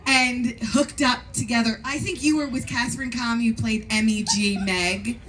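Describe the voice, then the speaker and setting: Loud, bubbly woman moderating a panel. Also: fairly high pitch, steady tone, moderate pace, poor audio. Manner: loud, bubbly, swears freely. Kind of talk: live convention panel.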